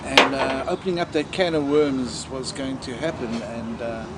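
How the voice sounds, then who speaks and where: A South African man, a drummer, chatting in a relaxed interview, halting with fillers and joking. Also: mid-range voice, very noisy. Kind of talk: casual interview chat.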